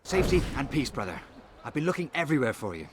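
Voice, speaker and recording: Game bit part: male assassin informer, played by an actor, hurried, helpful, animated intonation, noisy background. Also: mid-range voice, close to an everyday voice. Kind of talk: video game dialogue. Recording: clear background noise.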